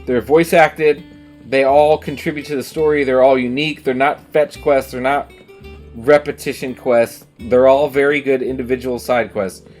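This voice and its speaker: A man's voice: medium pitch, steady tone, moderate pace, darkish tone, faint music behind. A man chatting casually and emphatically on a livestream while playing a game, giving opinions with some swearing. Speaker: game streamer. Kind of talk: casual livestream chat over gameplay.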